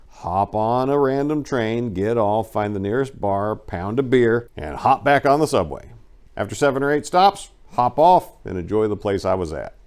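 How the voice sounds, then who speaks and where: A man giving a dramatic first-person reading of a memoir, telling it in a wry, animated storyteller's way. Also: mid-range voice. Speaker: audiobook narrator.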